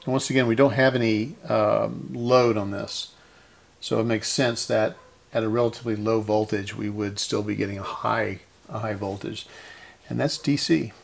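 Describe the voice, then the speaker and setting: A man with a fairly low voice full of heavy vocal fry hosts a hands-on repair video. He speaks casually and methodically, thinking aloud as he goes through each step. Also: steady tone, normal speed. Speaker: host of a radio repair channel.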